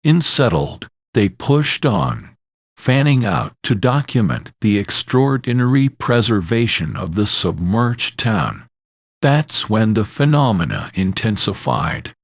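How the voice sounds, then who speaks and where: A man's voice: lowish voice, big pitch swings, slow pace, no echo, clean studio sound. A man narrating a scripted horror story in an ominous, dramatic manner.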